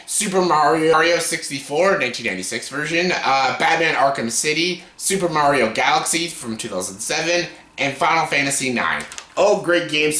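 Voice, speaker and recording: A man's voice: mid-range voice, sharp tone. A man in his late twenties with a scratchy voice, rambling through a casual top-ten countdown with plenty of swearing and filler words. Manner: rambling, profane, filler-heavy. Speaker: vlogger. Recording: roomy echo.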